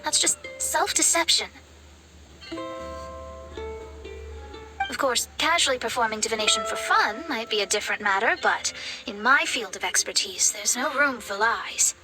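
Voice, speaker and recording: A woman's voice: a little high, lively intonation, normal speed, natural voice, rough recording. A woman voicing a proud, earnest young astrologer in an anime game, speaking in a formal, articulate way over music. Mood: firm, indignant. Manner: formal, articulate, self-serious. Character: proud, earnest young female astrologer, prickly but soft-hearted. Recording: background music behind the voice.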